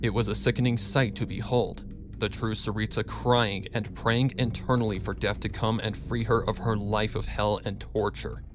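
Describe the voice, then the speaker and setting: Man reading a dark dramatic story. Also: fairly low pitch, level tone, moderate pace, over music. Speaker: fan fiction reader. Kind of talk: dramatic scripted storytelling narration.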